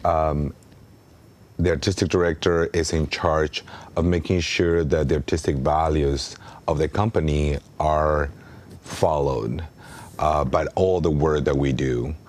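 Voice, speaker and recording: A man's voice: rumbling low, steady tone, measured pace, darkish tone. A man in his thirties from Mexico with a Mexican Spanish accent, a native Spanish speaker, talking earnestly as an interview guest, with frequent ums and restarts. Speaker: artistic director.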